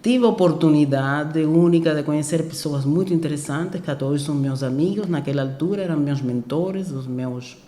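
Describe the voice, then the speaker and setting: Older Cuban woman, Spanish native, hesitant and mellow, echoey room. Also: low voice, fairly quick, few pauses. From Cuba. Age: 60s. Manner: hesitant, many fillers and restarts. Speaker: guest. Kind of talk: podcast interview, recalling her career.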